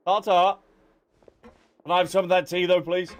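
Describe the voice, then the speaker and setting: High-voiced cheeky male game streamer. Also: level tone, moderate pace. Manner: cheeky, reactive. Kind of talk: casual livestream commentary with playful banter.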